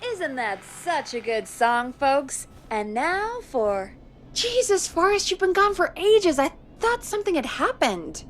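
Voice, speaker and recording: Casting: sardonic, exasperated woman radio producer in a video game, animated higher voice, music underneath. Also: a little slow, exaggerated voice. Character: sardonic, brisk female radio show producer.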